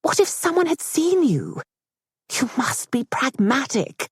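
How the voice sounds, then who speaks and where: A stern older society lady with a lifted, sharp voice and a cutting, commanding manner, as a woman reading a novel aloud puts her on. The voice is husky and dry, close to the mic, and swings widely in pitch. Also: sing-song, measured pace, no room sound, heavily acted. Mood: earnest, insistent. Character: stern, scheming aristocratic mother, older woman. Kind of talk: audiobook character voice.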